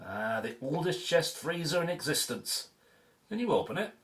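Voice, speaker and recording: An actor in an audio drama playing an excitable, flippant man on an archaeology team, chatty and quick to exclaim. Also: a little high. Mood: amazed, joking.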